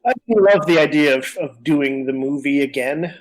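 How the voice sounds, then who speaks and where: A man's voice: mid-range voice, steady tone, normal speed, low-quality recording. A man co-hosting a podcast, talking casually in a rambling, opinionated way. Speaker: podcast co-host. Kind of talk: casual conversational discussion.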